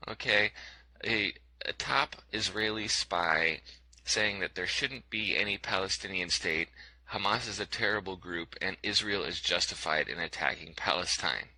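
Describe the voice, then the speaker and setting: A man's voice: low voice, normal speed, bright, forceful tone, dry recording, low-quality recording. A man giving a scripted commentary over video clips in a sarcastic, skeptical tone, with frequent fillers.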